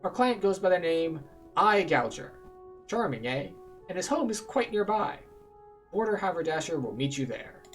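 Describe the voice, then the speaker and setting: A man's voice: high-pitched, very animated, normal speed. A courteous fantasy-game house agent, played by a man, recruits adventurers in formal, salesmanlike style. The delivery is businesslike, over faint music. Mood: courteous, persuasive.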